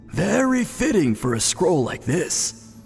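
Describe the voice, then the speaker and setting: A man playing a reflective, approving onlooker in a video game, with a creaky, husky voice. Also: high voice, big pitch swings, takes it slowly, full character voice. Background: game music.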